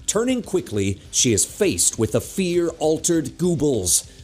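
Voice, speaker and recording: Male narrator for action audio drama, mid-pitched, very expressive, faint music bed. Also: slow pace, slightly rough.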